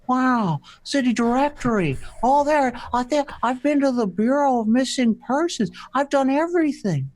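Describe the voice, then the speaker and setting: Radio comedy role: exasperated romantic fellow acted by a woman, slightly high pitch, insistent, wow-peppered delivery. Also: expressive, darkish tone, low-quality recording. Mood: desperate, smitten. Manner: drops drawn-out wows into sentences, insistent. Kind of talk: comic radio play reading.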